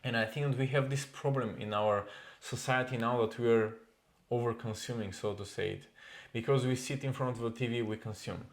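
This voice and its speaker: A man's voice: medium pitch, steady tone, brisk, few pauses. A man, a coach co-hosting a podcast, talking earnestly in a casual chat and repeating phrases for emphasis. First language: Bulgarian.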